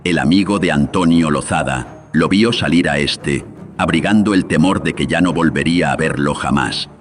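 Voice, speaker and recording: A man with an extremely deep, filtered voice narrates a horror tale. His delivery is solemn and theatrical, scripted like an old radio serial, over faint music. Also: moderate pace. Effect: voice processed with audio filters.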